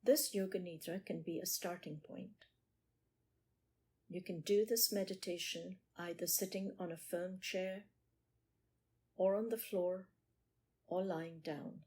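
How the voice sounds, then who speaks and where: A woman meditation teacher leading a guided meditation in short, measured phrases with pauses between them. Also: on the low side, fairly even intonation, darkish tone, husky.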